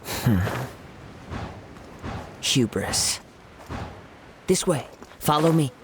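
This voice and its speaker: An actor playing a calm, perceptive young wandering samurai who speaks in a measured, formal way. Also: male voice, a little high, background noise. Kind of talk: video game voice acting. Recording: soft game music behind.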